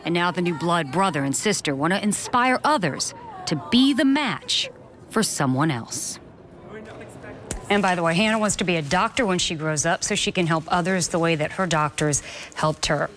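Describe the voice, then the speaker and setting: Woman narrating a TV news feature, lowish voice. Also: animated, moderate pace, fairly bright tone. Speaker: news reporter.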